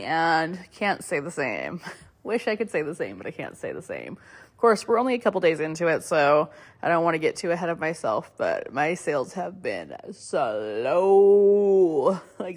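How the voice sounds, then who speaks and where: A young woman hosting a casual podcast, a little hoarse from a cold, chatting loosely with lots of fillers and small laughs. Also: low-pitched. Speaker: podcast host. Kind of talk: casual solo podcast chat.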